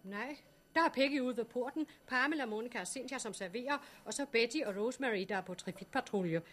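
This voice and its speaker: A woman's voice: on the high side, fairly bright tone. A woman acting in a 1960s radio drama as a prim, stubborn refuge leader with a formal, curt manner. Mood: brisk, formal. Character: prim, stubborn, pious woman leading a refuge for blind survivors.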